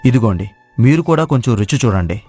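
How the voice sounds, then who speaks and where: A man's voice: medium pitch, expressive, brisk, a little mellow, husky, soft background music. A man playing a humble, honest village cook who speaks politely and confidently in a moral story. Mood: calm, assured. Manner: polite, assured. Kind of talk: acted moral story dialogue.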